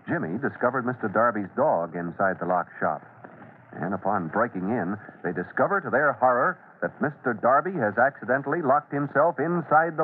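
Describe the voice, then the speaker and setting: Casting: male vintage radio serial announcer, declamatory and suspenseful, thin band-limited sound with faint hiss. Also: on the low side. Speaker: announcer and narrator. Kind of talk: dramatic old-time radio serial narration. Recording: noisy, tinny vintage radio recording.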